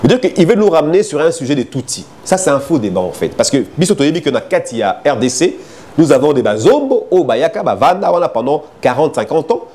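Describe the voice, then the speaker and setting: A man from Congo speaking as a guest in a political debate, explaining his views in a measured way with many hesitations. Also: on the high side, big pitch swings, a little mellow. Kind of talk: political debate in French and Lingala.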